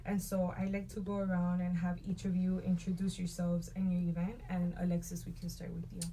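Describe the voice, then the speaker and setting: A woman's voice: fairly low pitch, completely flat delivery, fairly quick, warm tone, a little hiss or hum, low-quality recording. A woman hosting a talk show, speaking upbeat and conversational, with frequent ums and likes. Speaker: host.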